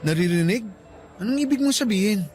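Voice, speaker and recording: A man's voice: high voice, lively intonation, brisk, a little mellow, soft background music. A man acting a smitten, easygoing but defensive college student in a radio drama, with a Filipino accent. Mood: defensive, doubtful. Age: young adult.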